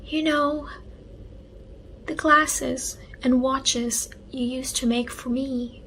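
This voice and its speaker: A woman voicing a caring, protective young girl in a children's storybook, earnest and expressive. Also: high-pitched, very slow, a little hiss or hum, heavily acted, rough recording. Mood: brightening.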